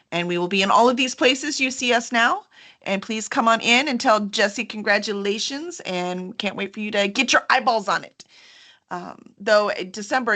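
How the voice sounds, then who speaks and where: A woman's voice: medium pitch, bright, forceful tone. A woman hosting a casual promotional chat in an enthusiastic, informal way. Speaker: host, book publisher.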